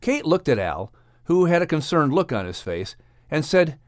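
Animated, higher-pitched male storyteller. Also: moderate pace, dry recording, clean studio sound. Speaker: announcer and audiobook narrator. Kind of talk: scripted narration for children's story.

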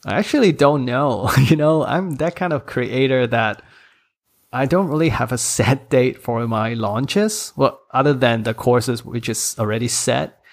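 A man's voice: mid-range voice, unhurried. A man in his thirties with a Hong Kong accent, speaking English as a third language, chatting as a podcast guest in a candid, self-deprecating way with frequent laughs. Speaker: podcast guest, course creator. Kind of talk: casual interview chat.

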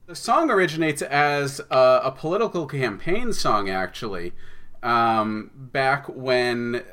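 Man, bright forceful voice, middle pitch, conversational storytelling, podcast guest. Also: animated, slow. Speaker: podcast guest, audio drama writer.

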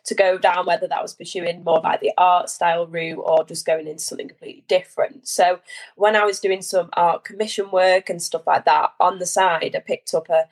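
A woman in her twenties, chatting as a guest on a remote interview in a relaxed, friendly way with lots of "like". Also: on the low side, fairly quick, creaky. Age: 20s. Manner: friendly and relaxed, uses "like" often. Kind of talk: casual interview chat.

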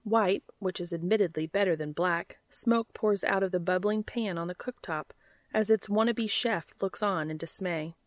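A woman's voice: mid-range voice, no echo. Woman reading fiction, close and dry. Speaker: audiobook narrator of fan fiction. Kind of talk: scripted story narration.

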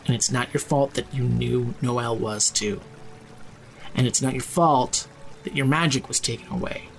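A man's voice: mid-range voice, normal speed, gravelly, faint music behind, lightly acted. An actor playing an exasperated but caring man, blunt, sardonic and casual, in naturalistic audio drama dialogue. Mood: exasperated, supportive. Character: Nico, exasperated, sweary, caring male friend. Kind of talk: fiction podcast acting, naturalistic dialogue.

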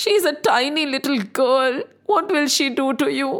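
A woman's voice: high voice, lively intonation, moderate pace, fairly bright tone. A woman voicing a frightened, tender mother of a newborn girl in a storybook tale, pleading in repeated entreaties. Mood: desperate pleading.

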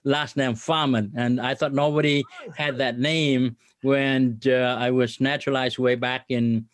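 A middle-aged man from Vietnam, a business guest on a podcast interview, speaking earnestly with many filler pauses and religious phrasing. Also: mid-range voice, flat delivery, no room sound, clean studio sound.

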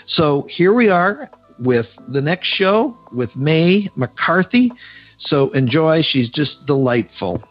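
A man's voice: fairly high pitch, very animated, slow pace, dull audio, soft background music. A man hosting a podcast interview, chatty and playfully teasing his guest. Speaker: podcast host.

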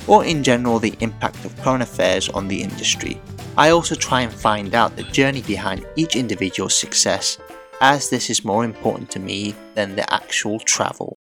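A man hosting a relaxed interview, easygoing and conversational. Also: lowish voice, normal speed, vocal fry, faint music behind. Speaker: podcast host. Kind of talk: casual interview chat.

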